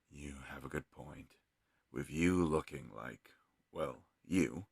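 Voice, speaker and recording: A man playing an earnest, kind fantasy healer in an audio roleplay, speaking in a gentle, hesitant, slightly husky voice. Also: extremely low pitch, no room sound, studio quality, exaggerated voice. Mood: earnest, reflective. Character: earnest, kind young male fantasy healer, self-doubting.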